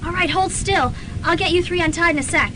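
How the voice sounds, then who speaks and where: A young woman playing a plucky college student in a radio drama, brisk and urgent. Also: extremely high pitch, pushed and bright, a little hiss or hum. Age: young adult.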